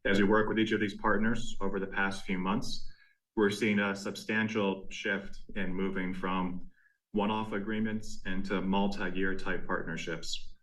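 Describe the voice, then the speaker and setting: A man speaking as a company executive, delivering a prepared earnings presentation in a measured, formal way. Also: on the low side, level tone, fairly bright tone, rough recording. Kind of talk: prepared corporate presentation, scripted narration.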